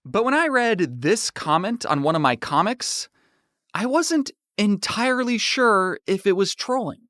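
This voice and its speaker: A man narrating his own comedic story in an animated, playfully sarcastic way, like a scripted online video. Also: high-pitched, a little slow, no echo, studio quality.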